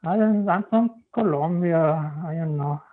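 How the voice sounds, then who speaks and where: A man's voice: a little high, a little slow. A male caller on a call-in show, talking hesitantly and padding every phrase with "you know". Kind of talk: call-in phone chat. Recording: tinny phone line.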